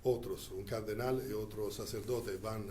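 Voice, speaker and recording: A man who learned Spanish as a second language recounts his years as a Church diplomat in an interview, speaking deliberately with frequent pauses. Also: fairly low pitch, fairly even intonation, dark tone, a little hiss or hum. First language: not Spanish.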